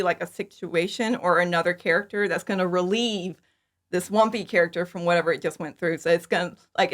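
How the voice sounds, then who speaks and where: A woman in her thirties chatting casually as an interview guest, enthusiastic, informal and giggly. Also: mid-range voice. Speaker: podcast guest, fan audio drama creator. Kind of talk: casual chat, interview answers.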